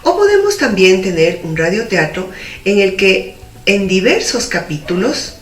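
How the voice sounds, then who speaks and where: A woman's voice: medium pitch, sing-song, unhurried, soft background music. A woman giving a methodical, didactic explanation in an instructional style. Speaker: radio drama producer giving a tutorial. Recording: roomy, distant mic, faint noise.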